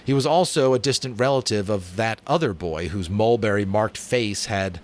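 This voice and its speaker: A man giving a dramatic audiobook-style reading of a novel, with expressive emphasis and the occasional self-correction. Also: fairly low pitch, normal speed, slight background noise. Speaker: narrator.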